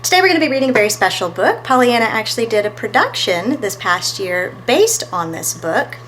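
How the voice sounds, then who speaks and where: A woman's voice: a little high, sing-song, fairly quick, fairly bright tone, slight background noise. A woman reading a picture book aloud as a storytime host, expressively and talking to her listeners between pages.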